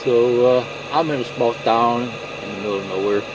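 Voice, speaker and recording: A man's voice: mid-range voice, moderate pace, background noise. A man giving a casual, plain-spoken how-to explanation in a conversational way. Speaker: product demonstrator.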